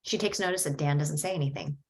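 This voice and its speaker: A woman reading screenplay stage directions at a table read in a steady, descriptive way. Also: low-pitched, fast.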